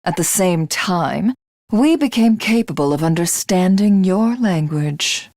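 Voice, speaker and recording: An actress playing a haughty, vengeful alien warlord in a video game, speaking in formal, mocking, theatrical lines. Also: female voice, fairly low pitch, expressive, unhurried, a little mellow, dry recording, studio quality. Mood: haughty, musing. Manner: imperious, mocking, formal archaic diction. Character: haughty, vengeful alien warlord, a self-styled living god. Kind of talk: theatrical game villain monologue.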